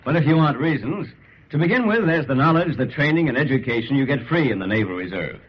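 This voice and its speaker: An actor playing an enthusiastic sports reporter who narrates in an earnest, punchy old-time radio style with an American accent. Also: male voice, medium pitch, lively intonation, fast talker, natural voice. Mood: earnest pitching. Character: enthusiastic male sports reporter, the ballplayer's pal. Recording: muffled, hissy old radio recording.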